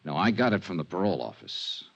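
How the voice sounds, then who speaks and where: An actor playing a shrewd, smooth-talking insurance investigator in a 1950s radio drama, with clipped hard-boiled narration and probing questions. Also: male voice, low-pitched, very animated, fast, a bit bright, heavily acted. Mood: casually probing. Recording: old muffled radio recording, faint music.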